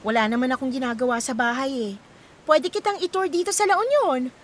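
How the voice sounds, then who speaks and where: An actress playing a caring, supportive young friend in a Filipino radio drama, expressive and sympathetic, with a Filipino accent. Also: female voice, sits high, fairly quick. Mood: comforting. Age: young adult. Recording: music playing behind the voice.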